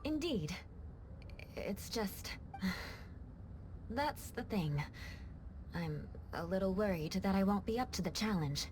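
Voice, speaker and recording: A woman voicing a proud, reserved young noblewoman knight in a video game, with measured, formal, poised delivery. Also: mid-range voice, expressive, background noise. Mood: hesitant, reflective. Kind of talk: video game cutscene acting.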